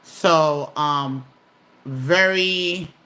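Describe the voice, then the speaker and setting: A woman's voice: deep voice, very slow, bright, forceful tone. A woman casually hosting a live stream, chatting and reading viewer comments aloud.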